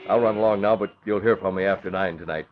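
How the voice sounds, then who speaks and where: An American actor playing a calm, courteous young newspaper publisher in a 1940s radio drama, measured and assured. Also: male voice, low voice, close to an everyday voice. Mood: cordial. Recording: muffled old radio, busy background.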